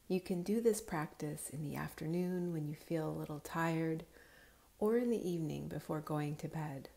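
A woman guiding a relaxation meditation in a calm, soothing, unhurried manner, her voice soft with breathy edges. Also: deep voice. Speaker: yoga nidra guide.

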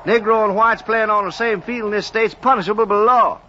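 A man's voice: sits high, fairly even intonation, a little fast, sharp tone, slight background noise. An actor playing a hostile, bullying lawman, curt and threatening, in a 1940s radio drama. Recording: muffled 1940s radio recording.

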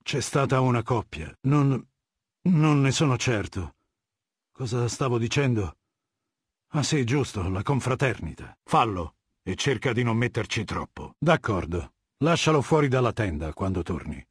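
A middle-aged warlord who rules a legion in a video game. His voice is husky and mid-pitched, recorded dry and close. He speaks with cold, condescending command and an edge of menace. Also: expressive, measured pace, dry recording. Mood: irritable, unwell. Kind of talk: video game dialogue, Italian dub.

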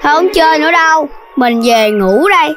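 High, whiny little boy piglet. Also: lively intonation, fairly quick, music in the background. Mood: sulky. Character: small, sulky, sleepy little boy piglet. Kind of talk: children's cartoon voice acting.

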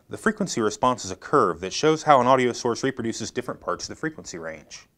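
Male product presenter, American, thirties, animated intonation, scripted how-to delivery. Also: mid-range voice.

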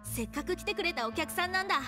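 Very high, eager little boy. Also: expressive, quick pace. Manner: boyish, eager. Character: eager, polite little boy, eerily friendly. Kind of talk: Japanese anime game acting. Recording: long reverb, clear music behind.

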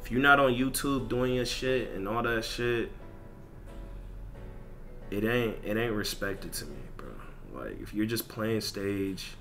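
A man's voice: fairly low pitch, unhurried, fairly bright tone, faint music behind. A man ranting casually as a gaming streamer, blunt, emphatic and repetitive, with lots of slang and swearing. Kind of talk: casual livestream rant over gameplay.

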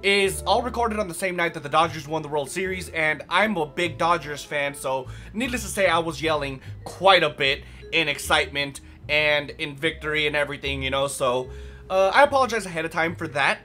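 A young man with a hoarse, raspy voice chatting casually through a let's play, rambling with lots of fillers. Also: a little high, a little fast, sharp tone, faint music behind. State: hoarse from yelling. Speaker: gaming channel host.

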